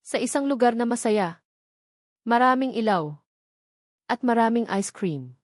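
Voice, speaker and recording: A woman voicing an earnest, protective billionaire man in a storybook romance, speaking softly and reassuringly. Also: on the high side, expressive, unhurried, no room sound, studio quality. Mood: gentle. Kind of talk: storybook character voice.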